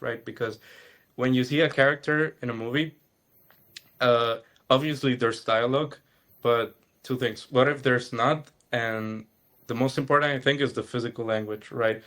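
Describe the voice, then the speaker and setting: A man, an animation student, casually explaining his coursework on a video call, with lots of "you know" and "right". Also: mid-range voice. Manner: relaxed, rambling with fillers like "you know" and "right".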